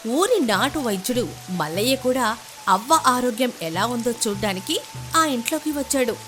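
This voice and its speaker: A woman's voice: fairly high pitch, lively intonation, moderate pace, fairly bright tone, soft background music. A woman narrating a scripted story in a dramatic storytelling style.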